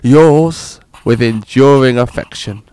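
A man's voice: medium pitch, takes it slowly, warm tone, smooth, close to an everyday voice. A man voicing a pompous, dim male playwright reading his letters aloud. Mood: earnest. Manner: theatrical letter reading, drawn out.

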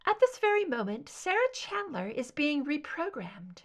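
Woman voicing an ethereal high-pitched mysterious female informant. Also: big pitch swings. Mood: ominous, intent. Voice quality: clear, soft, delicate, ethereal soprano. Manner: cryptic, unruffled, deliberate.

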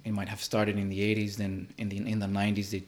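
Guy with a lowish voice in an interview, kinda halting, keeps restarting his sentences. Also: completely flat delivery. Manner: halting, repeats words while searching for phrasing. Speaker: filmmaker being interviewed. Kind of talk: interview conversation.

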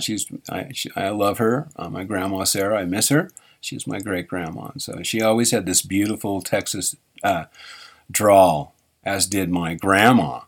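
A man with a fairly low voice hosts a live radio show and chats off the cuff. He stops and restarts, fixes his own slips, and breaks into small chuckles as he goes. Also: husky. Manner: halting, self-correcting, chuckles often. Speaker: radio host. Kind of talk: rambling live radio chat.

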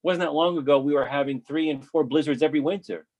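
A man's voice: fairly high pitch, fast, a little mellow, dull audio. An actor playing an earnest male storm survivor in a readers theatre monologue, declaiming his lines.

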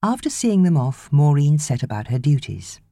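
A woman with a British accent reading fiction as an expressive audiobook narrator. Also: sits low, moderate pace, dry recording, studio quality.

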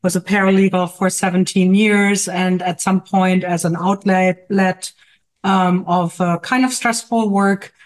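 A woman's voice: on the low side, steady tone, measured pace. A middle-aged woman from Germany with a German accent, talking casually about herself as a guest, with frequent hesitations. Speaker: guest artist and teacher. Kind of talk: casual autobiographical interview talk.

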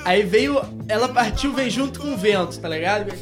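A man's voice: high voice, expressive, normal speed, over loud music. A man co-hosting a casual chat with friends, playful and slangy, fond of painting vivid scenes as he talks. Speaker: podcast co-host.

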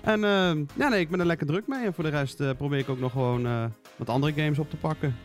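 A man's voice: on the high side, quick pace, soft background music. A man chatting casually and enthusiastically about games as a podcast reviewer. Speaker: game reviewer on podcast panel.

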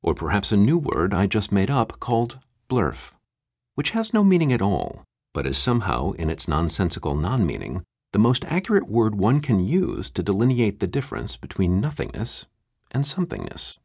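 Guy doing a pompous old-school philosophy translator, super deep, pedantic and deliberate, swooping intonation, audiobook bit. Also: very animated, a little mellow, breathy edge, dry recording, clean studio sound, full character voice. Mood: solemn, pedantic. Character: pompous academic translator reading an absurdly dense philosophy text. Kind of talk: comic mock-scholarly audiobook reading.

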